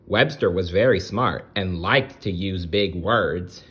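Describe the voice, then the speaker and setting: A man reading a children's story aloud as storyteller, expressive, with conversational asides to the listener. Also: deep voice, takes it slowly.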